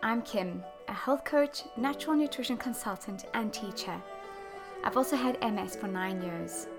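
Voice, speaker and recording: A woman hosting her own podcast, a health coach explaining her topic in an earnest, explanatory way, her voice slightly croaky as she gets over a chest infection. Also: mid-range voice, moderate pace, over music. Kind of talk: solo podcast talk.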